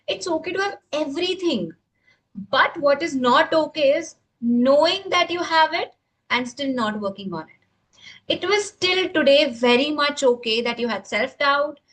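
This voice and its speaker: A woman coaching an online communication class, giving warm, motivational feedback to a participant over a video call. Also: sits high.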